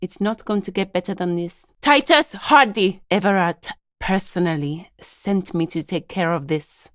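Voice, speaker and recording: A woman's voice: on the low side, steady tone, normal speed, a little mellow, dry recording, studio quality, close to an everyday voice. A woman playing a stern, guarded lawyer for a gang in a video game, with a formal, clipped, authoritative manner. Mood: dry, exasperated, then angry, commanding. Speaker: video game character voice actor. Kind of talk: scripted game dialogue.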